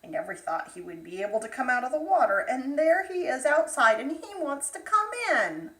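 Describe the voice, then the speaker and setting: A woman's voice: high voice, big pitch swings, moderate pace, pushed and bright, exaggerated voice. A woman voicing a spoiled, tearful young fairytale princess with earnest, dramatic delivery. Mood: anxious. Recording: roomy echo, distant microphone.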